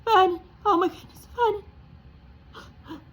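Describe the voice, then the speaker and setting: A woman's voice: extremely high pitch, slow, fairly bright tone, voice pushed up, exaggerated voice. A woman voicing an anxious, fretful middle-aged aunt with a quivering voice in a storybook reading. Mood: horrified.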